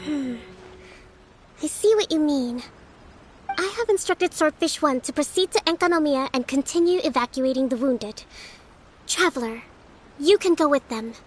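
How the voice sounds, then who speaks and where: A woman playing a gentle young priestess who leads her people in a fantasy game, speaking in a measured, thoughtful, polite way. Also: high-pitched, lively intonation, soft background music. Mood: thoughtful, composed. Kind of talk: scripted fantasy game acting.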